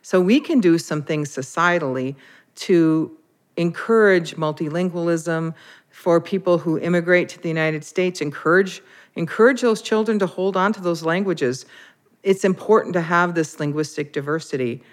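A woman interviewed as a heritage language learner, speaking in a thoughtful, measured way. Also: on the low side. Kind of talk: interview commentary.

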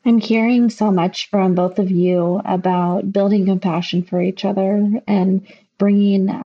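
A woman host reflecting back to her guests in a measured way. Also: medium pitch, flat delivery, muffled recording.

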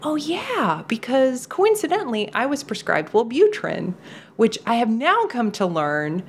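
A therapist whose first language is Polish, talking casually on a podcast, telling stories with lots of laughter and acted-out quotes. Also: female voice, a little high, unhurried, slight background noise. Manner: animated storyteller who acts out quoted speech and laughs often.

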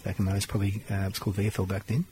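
Male host, brisk, upbeat and friendly, slightly low voice. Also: little pitch movement, warm tone, slight background noise. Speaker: interviewer host. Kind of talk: business interview.

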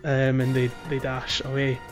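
A man's voice: mid-range voice, monotone, normal speed, over music. A man giving casual, chatty gaming commentary as a podcast host, telling things informally.

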